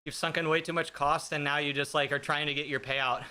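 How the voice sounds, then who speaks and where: Bright-voiced joking male streamer. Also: a little high, flat delivery, brisk, a little hiss or hum. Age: 30s. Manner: joking, self-deprecating, chatty; talks while riding an indoor bike. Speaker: fitness streamer. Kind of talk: casual live-stream chat with viewers. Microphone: streaming desk mic with noise gate.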